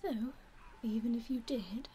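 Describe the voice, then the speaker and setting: A British woman playing a centuries-old vampire lady, aloof yet caring, in a breathy, hushed whisper close to the microphone for ASMR roleplay. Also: a little high, a little hiss or hum, natural voice. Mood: cool, bitter. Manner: hushed, unhurried, intimate. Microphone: close binaural microphone.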